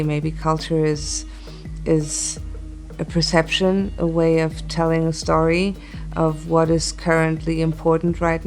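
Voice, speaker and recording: A woman talks reflectively in an interview, her voice low and fairly flat in melody. She sounds earnest and thoughtful, often pausing mid-sentence, with music clearly playing behind her. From born in Chile, grew up in Italy, England and Germany. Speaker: music artist being interviewed.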